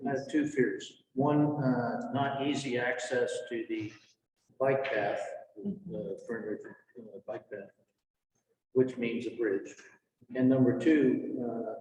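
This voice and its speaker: A man's voice: medium pitch, fairly even intonation, slow pace, darkish tone, a little hiss or hum. A man at a community meeting, listing his concerns plainly with some fillers. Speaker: resident at public meeting. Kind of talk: public meeting discussion. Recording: echoey hall.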